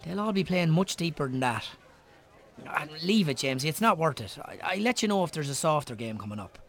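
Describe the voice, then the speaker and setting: An actor with an Irish accent playing a teasing but cautious pub landlord in a radio drama. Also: male voice, on the high side, sing-song, a little fast, a bit bright. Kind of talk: naturalistic radio drama acting.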